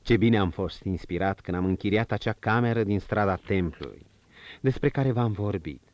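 A man voicing a calm, composed prince, low and measured, in a dull audiobook recording. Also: no echo, close to an everyday voice. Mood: serious. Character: male prince, calm, gently commanding.

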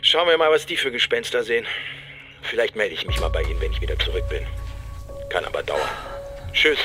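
A man's voice: lowish voice, sing-song, fairly quick, over music. A man playing an embittered, suspicious police detective in a naturalistic radio crime drama, blunt, colloquial and sardonic. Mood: resigned, defiant.

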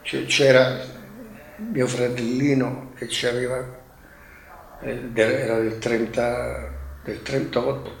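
Slow, gravelly older man, halting. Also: mid-range voice, level tone. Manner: halting, fragmented. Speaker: witness recalling wartime. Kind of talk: spoken testimony. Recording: echoey, slightly noisy archive interview.

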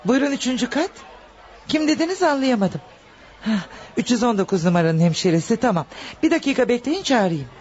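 A woman's voice: fairly high pitch, sing-song, a little fast, darkish tone. An actress playing a courteous, busy female reception nurse in a radio play. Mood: polite, busy. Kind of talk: Turkish radio play acting.

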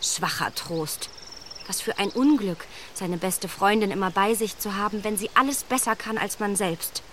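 A woman's voice: mid-range voice, moderate pace, a little hiss or hum, close to an everyday voice. An actress playing a clever young lady in a radio play, probing and ironic in her questions.

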